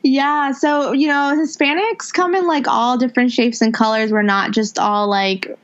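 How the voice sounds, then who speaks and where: A woman guest on a podcast, chatting in a relaxed, casual way with plenty of fillers. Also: high-pitched, normal speed. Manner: relaxed, filler-heavy talk. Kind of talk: casual conversation. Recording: dull lo-fi audio.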